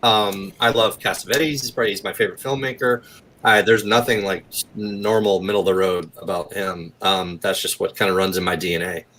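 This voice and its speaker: Guy with a lowish voice chatting on a podcast, informal and into it. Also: steady tone, a little fast, bright, forceful tone, slight background noise. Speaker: actor and producer guest. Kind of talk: casual podcast interview.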